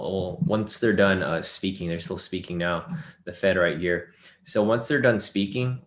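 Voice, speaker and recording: A man's voice: sits low, fairly bright tone, roomy sound. A man hosting a live trading webinar, talking through charts and chat questions loosely with many fillers, his voice worn from a long day of sessions. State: losing his voice. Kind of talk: live screen-share trading lesson.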